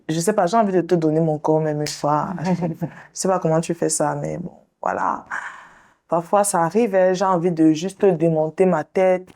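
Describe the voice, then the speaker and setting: A woman therapist hosting the show, leading a guest through a slow guided visualization and counseling talk in a soothing, directive way. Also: sits low, dark tone. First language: English. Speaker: sex therapist and show host.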